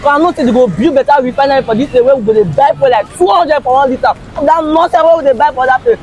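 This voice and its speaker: Guy playing a rowdy street dude in pidgin, really high fast voice, street noise around. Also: some chatter behind. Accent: Nigerian Pidgin. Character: rowdy excited street man.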